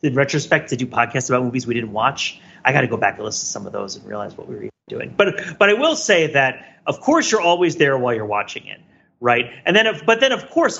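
Bright, slightly husky man on a podcast. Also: medium pitch, lo-fi sound. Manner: emphatic, rambling, philosophical phrasing. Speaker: podcast panelist. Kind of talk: casual reflective commentary in a group podcast.

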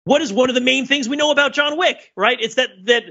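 Bright-voiced man, animated podcast rambler. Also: very high-pitched, fast, creaky, slightly rough, muffled recording. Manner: animated, enthusiastic, rambling with many asides. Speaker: podcast co-host. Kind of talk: casual film discussion, analytical chat.

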